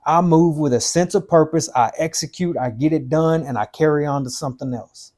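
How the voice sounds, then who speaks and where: A man's voice: a little high, brisk, darkish tone. A man in his fifties giving blunt, motivational life advice on a podcast. He repeats his points for emphasis and keeps calling the listener "man" and "dude". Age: 50s.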